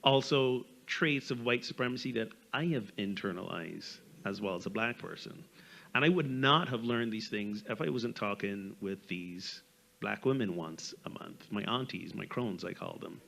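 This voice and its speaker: A middle-aged man with a light Barbadian accent, speaking candidly and reflectively on a panel, in a halting way with repeated words. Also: on the low side, vocal fry, fairly bright tone, poor audio.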